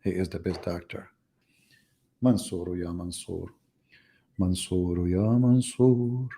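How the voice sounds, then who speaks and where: Male stream host, dark and mellow tone, sarcastic debater with a wandering delivery. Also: low voice, fairly even intonation, slow, creaky. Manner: mocking, ironic, rambling asides. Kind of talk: sarcastic live-stream commentary.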